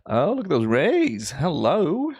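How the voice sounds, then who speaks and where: A man in his thirties from Belgium, with a Belgian accent shaded by American and Australian English, chatting easily as host of a live stream, with drawn-out cheerful exclamations. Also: fairly high pitch, huge pitch swings, darkish tone, breathy edge. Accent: Belgian, with American and Australian influence. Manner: laid-back, chatty, drawn-out enthusiastic exclamations. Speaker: timelapse livestream host. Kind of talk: relaxed live stream commentary.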